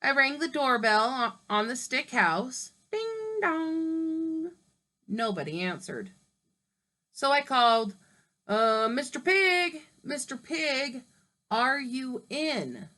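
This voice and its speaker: A chatty storybook wolf pleads his innocence in a slow, lively telling, read by a woman in her own fairly high voice. He talks to the listener like an old friend, drawing out each word. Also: heavily acted. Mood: persuasive. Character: smooth-talking male wolf telling his side.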